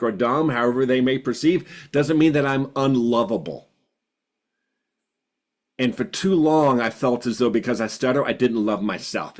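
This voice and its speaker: A man in his fifties who stutters, sharing earnestly and reflectively in a group video call, repeating words for emphasis. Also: mid-range voice. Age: 50s. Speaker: support group participant.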